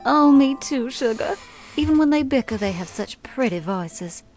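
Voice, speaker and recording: A woman's voice: high-pitched, animated, moderate pace, a little mellow, faint music behind. A woman voicing a flirtatious belle in a video game, calling everyone sugar and darling. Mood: teasing. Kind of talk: video game character dialogue.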